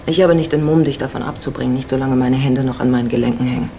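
A woman's voice: very deep voice, fairly even intonation, fast talker, dark tone, slight background noise. An actress playing a worldly, flirtatious married woman in her forties, sardonic and self-assured, in a radio drama. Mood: knowing, bittersweet. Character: worldly, teasing 45-year-old married Mexican woman.

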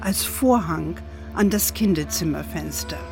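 A gentle, playful grandmother tells her family history as in an audio drama. She speaks slowly, in a measured storybook way, with music clearly playing underneath. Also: low-pitched, animated. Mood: measured, wistful.

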